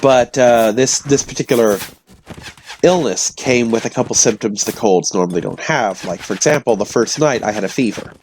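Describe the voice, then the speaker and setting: A man with a hoarse voice from illness, telling rambling stories casually to his audience. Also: medium pitch, normal speed, a little mellow, a little hiss or hum. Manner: meandering, self-interrupting, chatty. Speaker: vlogger.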